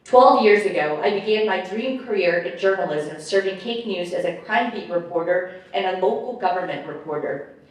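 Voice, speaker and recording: A woman in her late thirties from Guatemala, giving a measured, rehearsed campaign speech at a forum. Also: medium pitch. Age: late 30s. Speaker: mayoral candidate. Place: large echoey hall with audience. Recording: echoey hall, speaker far from mic.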